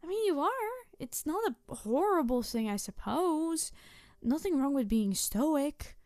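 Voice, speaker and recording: Woman playing a high-voiced playful tanuki trickster girl. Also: unhurried, dry recording, studio quality. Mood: excited, pleading. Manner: teasing, excitable, rambling with hesitations and apologies. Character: playful, mischievous young-sounding tanuki trickster spirit girl, over two hundred. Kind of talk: roleplay audio drama addressed to the listener.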